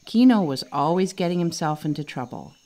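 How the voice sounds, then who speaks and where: A woman reading a children's story as narrator, expressive and dramatic. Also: on the low side, brisk. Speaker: author reading own storybook.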